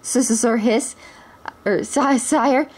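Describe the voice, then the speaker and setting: A woman's voice: a little high, slow, a little mellow, breathy edge, pitched above the performer's own voice. A woman reading a storybook aloud, voicing a nervous, fawning male snake servant who stammers over his hissing s sounds.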